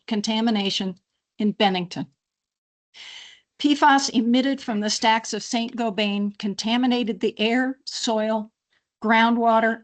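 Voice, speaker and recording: A woman giving a prepared public comment at a hearing, firm and urgent while thanking the officials. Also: a little high. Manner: firm, appreciative, urgent, reads from prepared remarks. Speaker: public commenter, local resident.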